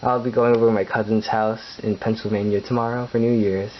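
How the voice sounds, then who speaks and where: A man's voice: lowish voice, little pitch movement, a little fast. A late-teen young man, chatting casually in a rambling home vlog, full of fillers and restarts and frequent chuckles. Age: late teens. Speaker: vlogger. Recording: dull lo-fi recording with faint hiss.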